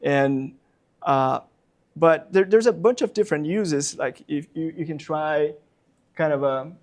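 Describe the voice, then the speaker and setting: A man's voice: a little high, measured pace, a little mellow. A man in his thirties from Brazil, a non-native speaker with a Brazilian Portuguese accent, giving a public lecture as a university professor in a library talk room, hesitant, with many fillers and repeated words.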